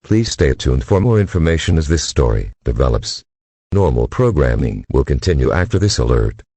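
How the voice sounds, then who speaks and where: A man's voice: rumbling low, sing-song, normal speed, mellow, breathy edge. A man reading scripted emergency alerts as an announcer, in a formal, synthetic-sounding delivery.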